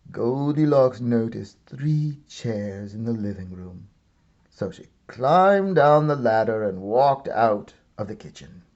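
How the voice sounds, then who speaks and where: Slow, animated man reading a storybook. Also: mid-range voice, dull audio. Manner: theatrical, deliberate comic timing. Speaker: storybook reader, art and stories teacher. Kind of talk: expressive children's read-aloud narration.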